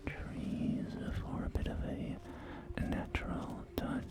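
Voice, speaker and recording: A man voicing a polite wasteland real estate agent in a whispered ASMR roleplay, with formal, softly persuasive sales patter. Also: slow, lightly acted. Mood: reassuring, formal.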